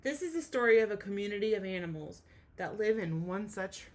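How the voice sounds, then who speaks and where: A woman with a mid-range voice speaks as a teacher reading to children. Her delivery is enthusiastic and expressive, in the style of a storybook read-aloud. She addresses young listeners directly and explains as she goes. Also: medium pitch, a little fast.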